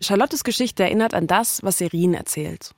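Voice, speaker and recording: A woman's voice: lowish voice, moderate pace, a little mellow, soft background music. A woman hosting a narrative podcast, reading scripted storytelling in a conversational way with short dramatic sentences. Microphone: close studio microphone.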